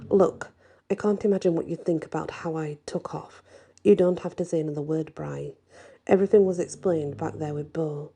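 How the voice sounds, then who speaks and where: A woman's voice: sits low, fairly even intonation, moderate pace, creaky, mellow, husky, full character voice. A woman voicing a devoted, protective male werewolf in a storybook, speaking earnestly and reassuringly. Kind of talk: acted storybook dialogue.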